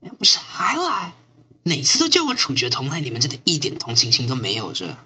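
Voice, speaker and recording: A woman's voice: a bass voice, huge pitch swings, a little fast, sharp tone, a little hiss or hum, exaggerated voice. Woman playing a sardonic, swooping-voiced chain-smoker. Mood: griping, sarcastic. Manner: sardonic, griping, drawling. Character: sardonic, foul-mouthed chain-smoking squad member, weary and teasing. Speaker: actor in amateur radio drama. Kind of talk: amateur radio drama acting.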